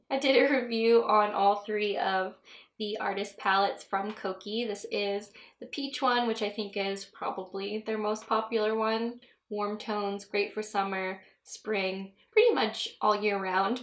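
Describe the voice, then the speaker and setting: A woman's voice: mid-range voice, fairly even intonation, a little slow, a bit bright. A young woman vlogging a makeup tutorial in a chatty, rambling way, laughing often, her voice a bit stuffy from a cold. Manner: chatty, giggly, rambling asides. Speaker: beauty vlogger. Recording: echoey room.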